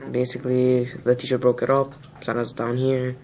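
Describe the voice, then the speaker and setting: A high school boy playing an indignant student in an amateur dramatization, with a noisy room around him. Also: monotone, moderate pace, a little mellow, close to an everyday voice. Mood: matter-of-fact. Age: teenager, high school student. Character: indignant teenage boy telling his side of a fight. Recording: noisy room with background hiss.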